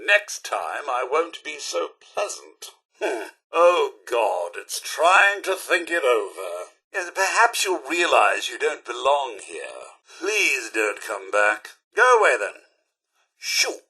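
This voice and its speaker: A fussy British robot butler from a video game, loyal yet snippy. The voice sits high and swoops widely, with a bright, forceful edge. The delivery is posh, theatrical and elaborately polite. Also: male voice, very animated, slow pace, husky, full character voice. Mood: snippy, haughty. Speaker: companion character in a video game. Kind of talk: video game voice acting, short dialogue lines.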